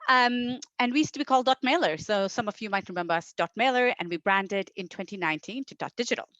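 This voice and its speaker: A woman's voice: mid-range voice, fast talker, fairly bright tone, no room sound. Middle-aged woman presenting, dull dry audio. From India. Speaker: marketing executive, guest presenter. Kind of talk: conversational conference presentation.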